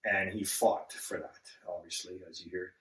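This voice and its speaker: A man with a fairly low voice answers interview questions, often restarting and stumbling over his words as he speaks earnestly. He sounds set back from the microphone. The room around him is roomy and echoing. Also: steady tone, sharp tone, recorded from a distance. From Zambia. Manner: halting, many restarts and fillers, earnest. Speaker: guest. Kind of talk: casual interview.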